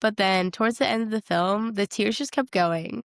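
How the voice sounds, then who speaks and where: A woman's voice: mid-range voice, normal speed, creaky, no room sound, clean studio sound. A woman co-hosting a podcast, chatting casually about film in an informal, emphatic way with plenty of "like". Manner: informal, emphatic, often says like. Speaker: podcast co-host. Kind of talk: casual film discussion chat.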